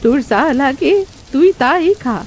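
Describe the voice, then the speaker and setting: A woman's voice: sits high, expressive, unhurried, a little hiss or hum. A woman playing a kindly old granny who is a friendly ghost, doting and folksy, in a children's cartoon. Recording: music behind the voice.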